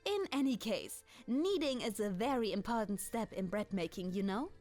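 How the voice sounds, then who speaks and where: A woman playing a kind, clumsy, centuries-old dragoness baker in an ASMR roleplay, with a German accent and gentle, apologetic, formal, halting speech. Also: medium pitch, animated, natural voice. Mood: cheerful instruction. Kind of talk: ASMR roleplay, in-character monologue to the listener. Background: music.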